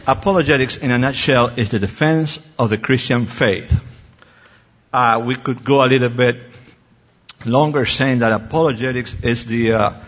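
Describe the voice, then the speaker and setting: A man's voice: mid-range voice, moderate pace, a bit bright. A man with a Spanish accent and native Spanish, explaining as an expert panelist in an informal, self-deprecating way. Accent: Spanish-speaking. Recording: dull muffled recording in reverberant hall.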